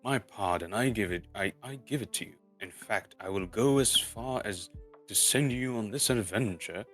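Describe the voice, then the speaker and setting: A man's voice: lowish voice, moderate pace, natural voice. A man voicing a grand, commanding old wizard with theatrical, declamatory delivery in a storybook reading. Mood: commanding, pleased. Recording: music playing behind the voice.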